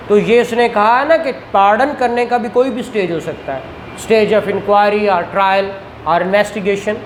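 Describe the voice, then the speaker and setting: A man with a Pakistani accent teaching law. He reads statute text slowly word by word, then explains it quickly and asks his students rhetorical questions. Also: high-pitched, roomy sound, slight background noise. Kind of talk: lecture mixing Hindi-Urdu explanation with English statute reading.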